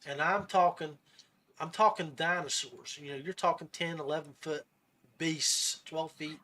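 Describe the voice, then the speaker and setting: A man with a notably high, bright voice hosts a casual fishing podcast. He talks in a folksy way and rambles through his stories like someone chatting with buddies. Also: fairly even intonation, unhurried. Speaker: podcast host.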